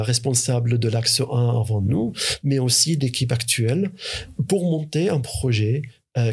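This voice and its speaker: A man's voice: medium pitch, darkish tone, breathy edge. A man, a researcher, answering interview questions in a hesitant way, with many fillers and restarts. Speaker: researcher and university teacher.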